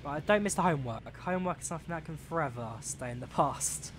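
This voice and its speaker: Young english guy rambling to his stream chat, kinda high voice, lots of ums, train game rumbling behind. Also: fast, background noise. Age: young adult, turning 20. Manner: rambling and chatty, reads chat aloud with many fillers. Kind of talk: casual live-stream chat while playing a train simulator. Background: train simulator engine and rail sounds.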